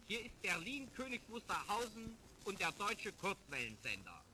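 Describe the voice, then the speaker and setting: High-pitched man, crackly vintage radio announcer. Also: very animated, moderate pace. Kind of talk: 1930s German radio announcement.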